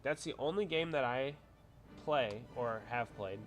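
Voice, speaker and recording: A man's voice: medium pitch, expressive, unhurried, faint music behind. Stuffy-nosed man chatting on a livestream. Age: adult with a wife. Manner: chatty, rambling, reads out viewer comments. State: congested from a cold. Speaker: livestream host. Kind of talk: casual livestream chat.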